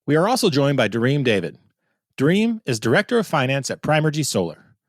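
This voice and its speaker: Male podcast host, mid-pitched, upbeat and conversational, dry close-miked sound. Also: very animated, brisk, no echo. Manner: upbeat, scripted intro then conversational questions. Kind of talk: podcast interview hosting.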